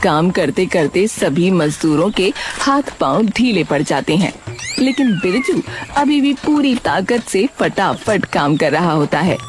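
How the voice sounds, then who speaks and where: A woman narrating a children's cartoon story in a steady, descriptive way. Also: lowish voice, measured pace, over music.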